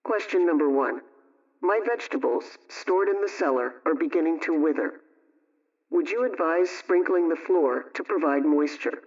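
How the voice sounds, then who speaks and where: A man's voice: a little high, animated, normal speed, darkish tone. A man presenting scripted household advice on radio, reading questions and answers through a dull, distant-sounding channel.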